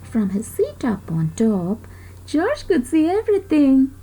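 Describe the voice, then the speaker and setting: A woman reading a children's story aloud in an expressive way, with dramatic pauses and emphasis. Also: high voice, soft background music, rough recording.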